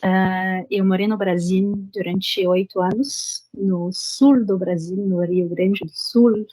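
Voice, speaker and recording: A woman from France with a French accent, a French teacher and interview guest, speaking Portuguese as a second language with a hesitant, halting delivery. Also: mid-range voice, fairly even intonation, warm tone. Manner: hesitant, many fillers and restarts in a second language. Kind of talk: casual interview chat.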